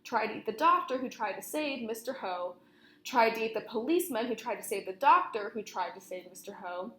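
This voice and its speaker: A young woman reads a children's book aloud in a fairly high voice. The room is roomy and echoing, and her delivery is that of storytelling for kids. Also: lively intonation, fairly quick, fairly bright tone, a little hiss or hum. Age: young adult.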